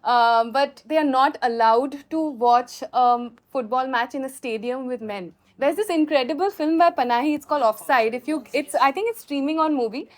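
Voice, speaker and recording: A woman filmmaker with an Indian accent answers questions off the cuff in a high-pitched voice. She explains through animated anecdotes, recounting what people said and did. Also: moderate pace, few pauses. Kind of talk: spontaneous question-and-answer talk.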